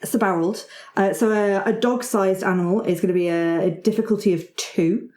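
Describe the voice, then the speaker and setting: A woman's voice: medium pitch, steady tone, moderate pace, darkish tone, reverberant. A woman working as game master, narrating a tabletop roleplaying game and encouraging the players' ideas.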